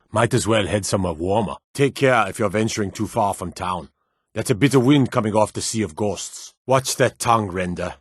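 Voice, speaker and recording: A weathered Nordic townsman and guard for a fantasy video game. His voice is low and husky, recorded very dry and close. He is gruff and dutiful, speaking in a measured, weary, plain-spoken way. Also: no room sound. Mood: stern, dry.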